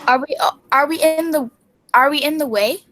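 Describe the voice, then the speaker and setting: A woman's voice: sits high, normal speed, a bit bright, no room sound. A female reader playing a polite, eager lady visitor in a stage play, reading somewhat hesitantly.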